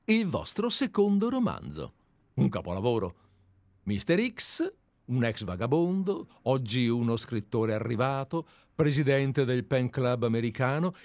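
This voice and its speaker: A man's voice: medium pitch, darkish tone, no room sound. A man reading the part of a fawning, meticulous male amateur investigator in a radio play, talking with effusive enthusiasm.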